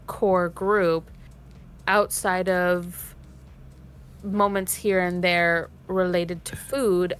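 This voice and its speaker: A woman's voice: fairly low pitch, a bit bright. A woman in a cast discussion, talking reflectively and thoughtfully as she pieces her points together in long sentences. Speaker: cast member of an actual play series.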